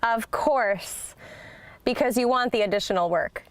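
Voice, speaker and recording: A woman's voice: a little high, a little slow, dry recording, natural voice. A woman playing a busy, plainspoken construction equipment manager, answering as the customer in a scripted business phone call. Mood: no-nonsense. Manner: matter-of-fact, plainspoken. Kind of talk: scripted business sales call role-play.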